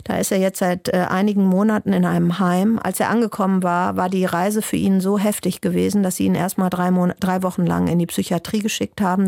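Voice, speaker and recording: Woman reporter with a gravelly voice. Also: medium pitch, a little mellow, dry recording, studio quality. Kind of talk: podcast conversation and narrated reportage.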